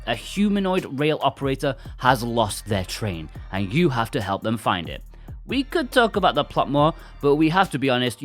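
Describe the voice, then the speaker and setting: A man presenting a scripted video game review as narrator. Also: medium pitch.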